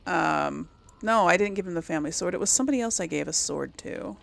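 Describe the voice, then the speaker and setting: A woman's voice: lowish voice, quick pace, gravelly, fairly bright tone. A woman giving casual let's play commentary as host, rambling as she thinks aloud and corrects herself. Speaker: gaming video host.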